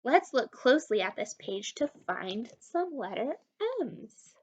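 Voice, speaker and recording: A woman reading a story aloud to young children as a teacher, animated and encouraging, talking directly to her listeners. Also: fairly high pitch, unhurried, slight background noise. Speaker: children's story time reader and literacy teacher.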